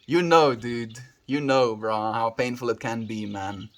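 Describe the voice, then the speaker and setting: A man with a South African accent chats live with his viewers in a relaxed, rambling way. He calls people dude and bro and often trails off and restarts his thoughts. His pitch sits right in the everyday middle for a man. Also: measured pace. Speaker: livestreamer. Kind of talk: casual livestream chat answering viewers.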